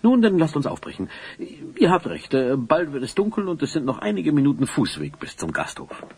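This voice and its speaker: A man's voice: a little high, very animated, a little fast. An actor playing an awkward, meekly persistent village newsman in a children's audio drama, talking formally and hesitantly. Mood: confiding. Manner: hesitant, stammering, long-winded, formal.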